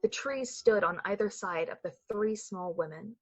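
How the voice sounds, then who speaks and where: A woman with a breathy voice delivering scripted, dramatic narration for a suspense radio play. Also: mid-range voice, normal speed. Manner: dramatic, literary storytelling.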